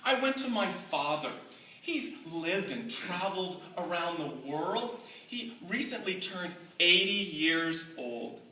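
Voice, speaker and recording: A middle-aged speaker telling a tall tale to a live audience in a deliberate, mock-scholarly way with dramatic pauses. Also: mid-range voice, very animated, bright, forceful tone, distant mic. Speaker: speaker giving a humorous club speech. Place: indoor room with live audience. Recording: echoey room from afar, faint noise.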